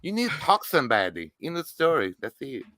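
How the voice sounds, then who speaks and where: A man's voice: medium pitch, very animated, bright, forceful tone, dry recording. A man doing a simple-minded broken-grammar guy. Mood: goofy. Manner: broken grammar, slow and singsong.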